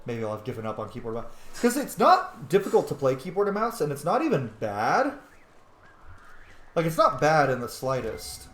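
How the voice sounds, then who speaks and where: A man's voice: fairly high pitch, huge pitch swings, normal speed, a bit bright, reverberant. A man giving casual, rambling let's play commentary as he games, with informal language and some swearing. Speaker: gaming video host.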